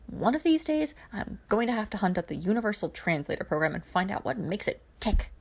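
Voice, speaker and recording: Woman voicing a creaky, grumpy young tech whiz. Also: medium pitch, very animated, a little fast. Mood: grudging, analytical.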